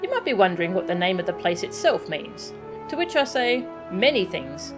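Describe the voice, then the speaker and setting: A woman's voice: medium pitch, very animated, normal speed, lightly acted. A woman voicing a courteous, florid taxonomist from a video game, proud and formal in her wordy phrasing. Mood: proud, welcoming. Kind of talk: video game dialogue read aloud. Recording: game music behind the voice.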